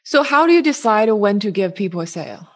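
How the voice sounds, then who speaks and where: A woman's voice: mid-range voice, big pitch swings, fast talker. A woman interviewing someone, asking questions in a conversational way and checking what she has understood.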